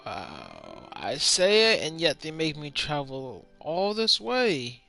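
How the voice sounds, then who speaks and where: A man's voice: on the high side, wildly swooping pitch, takes it slowly, creaky, pushed and bright. A young man giving casual, slangy let's play commentary, muttering reactions as a gaming streamer. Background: game music.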